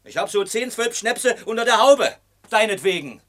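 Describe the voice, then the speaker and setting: A drunken ex-lover in a radio play, pushy and full of bluster. The voice rides very high and forceful, swinging between aggression and pleading. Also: male voice, lively intonation, moderate pace. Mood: belligerent. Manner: insistent, blustering. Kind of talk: German radio play acting.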